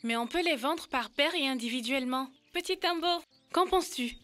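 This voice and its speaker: High-voiced eager cartoon girl. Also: a little fast, a bit bright, faint music behind. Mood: questioning. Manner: explains step by step. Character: Kibena, eager young girl learning to count. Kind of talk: French-dubbed educational children's cartoon.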